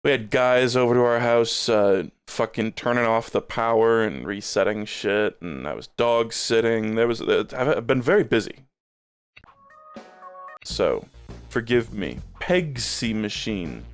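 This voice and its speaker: Low-voiced, slightly phlegmy male streamer. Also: lively intonation, measured pace, soft background music. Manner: rambling, swears casually, lots of fillers. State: a little phlegmy. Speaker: game streamer. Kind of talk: casual gaming stream chat.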